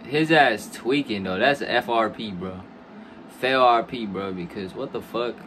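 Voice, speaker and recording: A young man reacts casually to gameplay in loose, sweary slang. His voice sits at a medium pitch, recorded in a room with clear noise and game sound behind it. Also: measured pace. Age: young adult. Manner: slangy, frequent swearing, informal address. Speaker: reaction video host. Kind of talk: casual live reaction commentary. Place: small dim room at a gaming chair. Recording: noisy, game audio behind the voice.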